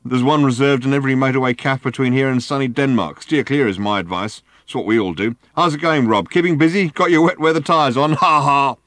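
A jokey, blokey workman from an audiobook, performed by a male reader. His voice rides high and loud with breezy good cheer, teasing as he goes. It is recorded dry. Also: a little fast, few pauses, no room sound, lightly acted. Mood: cheery, teasing. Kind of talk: audiobook character voice.

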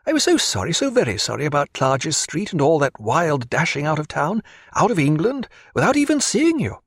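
Man voicing an excitable high-pitched British lady. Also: fairly quick, husky, no echo, clean studio sound, exaggerated voice. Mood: gushing, relieved. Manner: breathless, eager, emphatic. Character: excitable, gushing well-bred British woman, affectionate. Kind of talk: audiobook character voice.

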